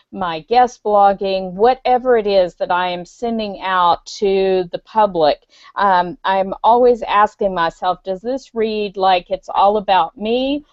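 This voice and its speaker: A woman guest explains her work earnestly on a podcast, pausing for fillers as she goes. Her voice is dry and close, with no room sound. The online call audio is dull and muffled. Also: mid-range voice, no echo. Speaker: guest author. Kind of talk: casual conversation on a podcast. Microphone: video call.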